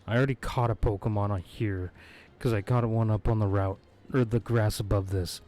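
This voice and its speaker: A man streaming a video game, hoarse from losing his voice, chatting in a laid-back, rambling way with frequent chuckles, close on his microphone. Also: on the low side, steady tone, normal speed, darkish tone, soft background music. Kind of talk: casual live-stream chat. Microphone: close desk microphone.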